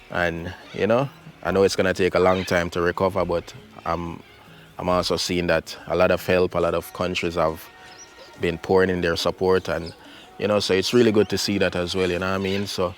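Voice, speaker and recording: A man's voice: deep voice, flat delivery, moderate pace, faint music behind. A man from Jamaica with a Jamaican accent, chatting casually in his backyard garden as a channel host, laid-back and full of fillers. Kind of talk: casual garden vlog chat.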